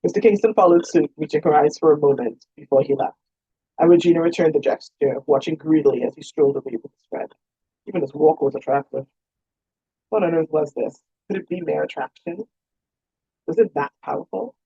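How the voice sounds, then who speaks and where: A woman's voice: low-pitched, fairly quick, warm tone, husky. A woman author reading her own romance novel as scripted narration. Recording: roomy, dull lo-fi sound.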